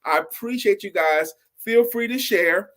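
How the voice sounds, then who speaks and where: A male storyteller with a voice a little on the high side. He hosts in a loose, conversational style, animated and fond of repeating phrases to drive them home. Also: a little slow. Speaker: storyteller and show host. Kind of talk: casual, improvised storytelling for adults.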